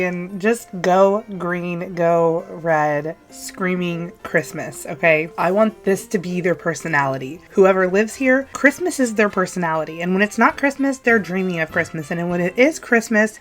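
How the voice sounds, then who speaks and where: A young woman from Texas, hoarse from a cold, chatting casually in voice-over as a video creator, animated and now and then stumbling over her words. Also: on the low side. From Texas, USA. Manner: chatty and animated, talks to viewers like friends, trips over words. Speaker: YouTube creator. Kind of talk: casual voice-over commentary on a game build.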